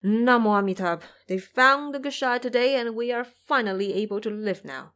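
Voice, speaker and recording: A woman's voice: fairly high pitch, animated, moderate pace, a bit bright. Fearful monks in a fantasy audio drama, acted out by a woman. The delivery is emphatic and fawning, eager to please. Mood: anxious relief.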